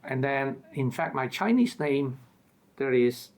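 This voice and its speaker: A man's voice: medium pitch, lively intonation, takes it slowly, darkish tone. A man from Hong Kong with a Hong Kong English accent, earnestly telling a personal story in an interview. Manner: earnest, repeats words as he tells stories. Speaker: interview co-guest.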